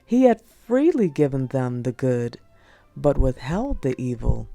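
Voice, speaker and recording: A woman narrating religious prose as an audiobook, in a measured, solemn read-aloud delivery. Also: very deep voice, big pitch swings, vocal fry, mellow, no room sound. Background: music.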